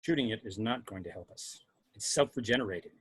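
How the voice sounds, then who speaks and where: Man playing starship science officer, analytical, measured, lowish pitch, husky, dry sound, table read. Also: animated, fairly bright tone, no echo. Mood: clinical. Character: detached, analytical male science officer on spaceship. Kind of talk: amateur table read of a film script.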